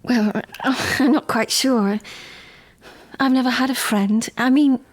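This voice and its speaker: High-voiced, sheltered, earnest young gentlewoman. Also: steady tone, slow, no echo. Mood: shy, kindly. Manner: earnest, hesitant, politely spoken. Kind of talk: gothic audio drama acting.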